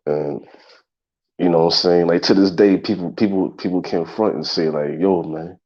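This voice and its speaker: A man's voice: low-pitched, fairly even intonation, moderate pace. A man in his thirties hosting a casual solo talk show, congested, with a loose, repetitive way of talking straight to his listeners. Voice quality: gravelly and husky. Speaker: podcast host. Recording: dull lo-fi sound.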